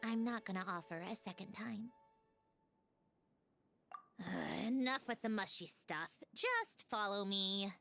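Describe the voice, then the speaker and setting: A woman's voice: mid-range voice, sing-song, unhurried, gravelly, pauses often, pushed and bright, full character voice. An actress playing a haughty, prickly young woman in a video game, curt and dry. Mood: impatient, gruff. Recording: game music behind.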